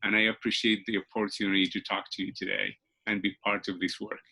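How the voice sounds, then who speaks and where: A man from Morocco with a Moroccan accent, a legislative staffer giving formal, earnest, slightly halting remarks at an online round table. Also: on the low side, flat delivery, pushed and bright, breathy edge. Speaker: state legislature staff member.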